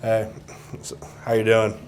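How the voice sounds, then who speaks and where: A man's voice: fairly low pitch, completely flat delivery, unhurried, a little mellow, soft background music. A young man in casual conversation as a contestant on a dating show. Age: young adult. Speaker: blind date contestant. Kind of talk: casual chat.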